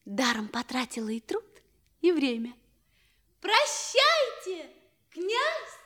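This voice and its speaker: An actress playing a coaxing, wily young slave maid in a singsong, theatrical radio drama manner. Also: female voice, very high voice, huge pitch swings, slow pace, pushed and bright, full character voice. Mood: peeved.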